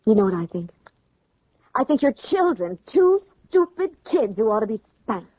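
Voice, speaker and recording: A woman's voice: on the high side, very animated, moderate pace, no room sound. A woman playing a forthright young girlfriend in a radio drama, direct and challenging in her delivery. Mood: indignant. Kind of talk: 1970s radio drama acting. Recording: muffled old radio drama recording.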